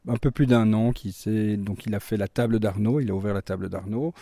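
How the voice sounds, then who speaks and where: Low mellow Belgian chef, hesitant. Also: male voice, a little fast, no echo. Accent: Belgian French. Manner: hesitant, many fillers and restarts. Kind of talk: radio interview.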